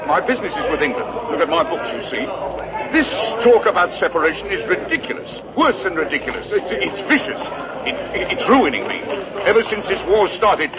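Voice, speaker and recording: A man playing an indignant, flustered loyalist merchant in a vintage radio drama, stammering and repeating himself as he blusters. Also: sits high. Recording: dull old radio recording, crowd noise.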